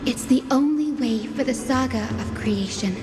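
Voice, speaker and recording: An actress playing an earnest, gentle, idealistic young student in a video game, speaking in a reflective storytelling manner. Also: female voice, a little high, moderate pace, lightly acted. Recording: reverberant hall, music behind.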